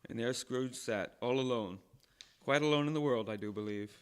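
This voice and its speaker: A man's voice: mid-range voice, normal speed, clean tone, natural voice. An actor playing a mild-mannered husband talking to his wife in an old-time radio drama. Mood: quiet, matter-of-fact.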